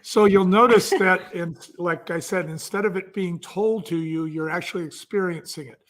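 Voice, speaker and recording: A man's voice: high-pitched, moderate pace, a bit bright. A man speaking as an entrepreneur guest, explaining conversationally with vivid examples.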